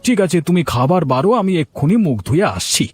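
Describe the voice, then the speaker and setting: Cartoon village husband male actor: high voice, fast delivery, whiny, lazy grumbler. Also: expressive, darkish tone, husky. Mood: coaxing. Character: lazy, grumbling village husband who coaxes his wife. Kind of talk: cartoon dialogue acting.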